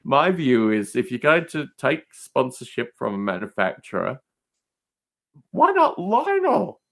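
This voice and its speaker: A man's voice: mid-range voice, wildly swooping pitch, measured pace, a bit bright. A man chatting casually as a podcast guest, teasing, opinionated and emphatic in how he talks.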